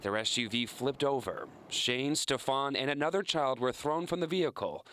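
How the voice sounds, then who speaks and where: A man's voice: mid-range voice, moderate pace, sharp tone. A man narrating a scripted investigative news report as a television reporter.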